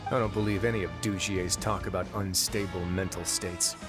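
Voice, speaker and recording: Man playing a composed, low-voiced duke. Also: fairly even intonation, close to an everyday voice. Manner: measured, deliberate, dryly playful. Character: composed, wry male duke and prison warden, fair-minded. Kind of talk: video game character dialogue. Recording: game music behind the voice.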